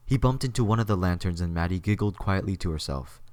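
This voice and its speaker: A man's voice: deep voice, fast, darkish tone, no room sound. A man reading scripted story narration as the narrator of an audio drama.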